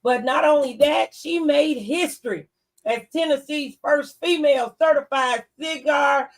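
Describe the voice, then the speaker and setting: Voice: high-pitched, measured pace. An American talk show host interviewing a guest live, with emphatic, announcer-like introductions.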